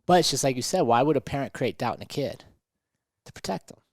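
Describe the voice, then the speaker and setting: A man's voice: mid-range voice, lively intonation, fast talker, dry recording, clean studio sound. A man talking conversationally as a motivational podcast host and coach, emphatic and fond of rhetorical questions.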